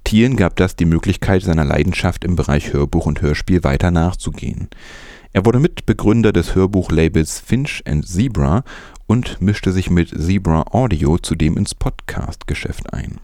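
A man's voice: deep voice, moderate pace, mellow, dry recording, studio quality. A man presenting scripted news as a narrator.

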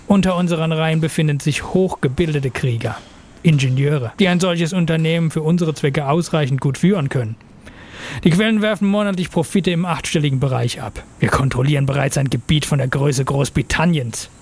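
A man's voice: a little high, a little mellow, close to an everyday voice. A man playing a fanatical Islamist recruiter in an audio drama, preaching in a solemn, declamatory way. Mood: reassuring, confident. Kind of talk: scripted audio drama monologue.

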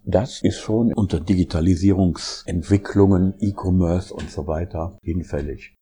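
A middle-aged man from Essen, a professor of economic geography, answering interview questions in a measured, explanatory way. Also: low-pitched, dark tone, slight background noise. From Essen, Germany.

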